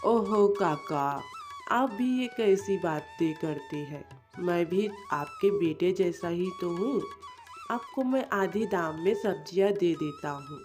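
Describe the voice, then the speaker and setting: A woman's voice: fairly low pitch, wildly swooping pitch, slow, darkish tone, pitched below the performer's own voice, full character voice. A woman voicing a clever, kindly young village man who sells vegetables in a cartoon story, friendly and earnest. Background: music.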